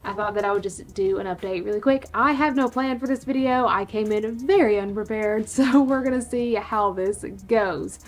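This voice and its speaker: A woman hosting her own channel, giving an unscripted casual update to viewers, a little hoarse and pausing for breaths. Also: mid-range voice. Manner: unscripted, breathy pauses, laughs often. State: slightly hoarse, short of breath. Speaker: reptile channel host.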